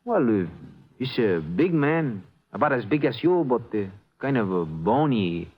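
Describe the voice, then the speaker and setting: An agitated Mexican hired hand in an old radio drama. He insists emphatically in broken English, his pitch leaping about, and the recording is dull and dated. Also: very animated, unhurried, husky. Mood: hesitant, earnest. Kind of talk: 1950s radio acting.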